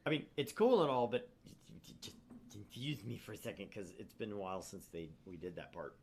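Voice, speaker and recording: A man's voice: on the low side, lively intonation, normal speed, vocal fry, a little mellow, slight background noise. A man co-hosting a let's play, switching between casual chat and narrating the story aloud. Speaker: co-host and narrator of a visual novel let's play.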